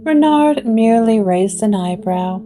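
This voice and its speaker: Slow, hushed woman narrator. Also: on the high side, lively intonation. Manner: slow, hushed, dramatic storytelling. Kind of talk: scripted gothic drama narration.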